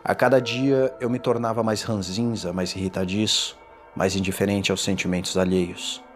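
A man playing a tormented condemned man in a gothic horror audio drama, confessing in a formal, theatrical and deliberate delivery. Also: lowish voice, steady tone, natural voice. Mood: wistful, grave. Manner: theatrical, deliberate, ornate diction. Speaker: narrator. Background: music.

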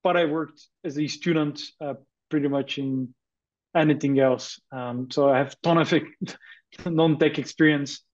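A man's voice: on the high side, steady tone, unhurried, a little mellow. Man on a muffled line. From Bulgaria. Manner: hesitant, many fillers, earnest. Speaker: guest entrepreneur. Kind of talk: podcast interview.

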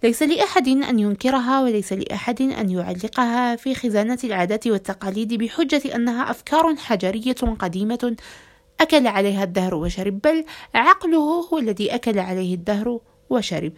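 A woman hosting a podcast, addressing listeners in formal Arabic with a measured, rhetorical delivery and a slightly hoarse voice. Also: on the high side, lively intonation. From Algeria. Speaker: podcast host.

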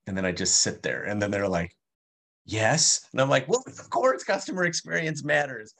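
A man's voice: mid-range voice, animated, moderate pace, gravelly, a bit bright. Man explaining in an easy, fluent way. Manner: fluent, explanatory, with playful asides. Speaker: guest, customer experience speaker. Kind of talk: conversational interview answers.

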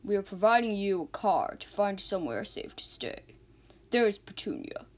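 A woman playing a lively, folksy police officer. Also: medium pitch, normal speed, natural voice. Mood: helpful. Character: folksy police officer, doting on an old car. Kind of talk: amateur radio drama acting.

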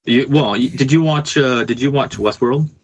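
A man's voice: mid-range voice, normal speed, slightly rough, no room sound. A man chatting casually as a musician guest on a podcast over a remote call. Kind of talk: casual chat. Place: remote Skype call from Canada.

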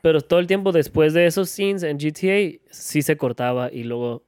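A man's voice: fairly high pitch, lively intonation, quick pace, darkish tone, smooth, dry recording, clean studio sound. A man chatting casually as a podcast co-host, switching between Spanish and English mid-sentence. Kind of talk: casual bilingual chat.

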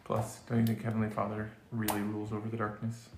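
A young man in a family discussion, calmly steering the talk back on track. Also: lowish voice, quick pace. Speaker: family member. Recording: roomy noisy home recording.

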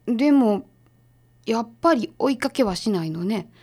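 Sharp detective's assistant lady, kansai accent, fairly high voice, casual and decisive radio drama. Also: lively intonation, normal speed, breathy edge. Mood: brisk, curious.

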